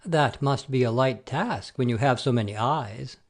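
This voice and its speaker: A man playing a wily messenger god posing as a simple shepherd, with an expressive storyteller's delivery in an amateur audio play. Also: medium pitch, normal speed, lightly acted. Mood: friendly, humble.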